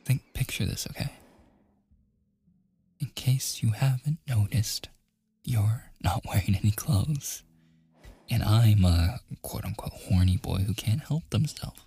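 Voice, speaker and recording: Man acting as smitten young man, insistent and coaxing, slow, dark mellow tone, soft intimate ASMR roleplay. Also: on the low side, full character voice. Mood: hushed, urgent. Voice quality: soft, breathy at times. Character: smitten, insistent young man, secret boyfriend-to-be, teasing and earnest. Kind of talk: boyfriend ASMR audio roleplay, soft speaking and whispering.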